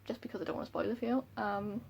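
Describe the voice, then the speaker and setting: Giggly Welsh woman with gravelly voice. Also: mid-range voice, racing, a little mellow. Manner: giggly, chatty, self-deprecating. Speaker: book vlogger. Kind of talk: casual chat to camera. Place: room at home by a window.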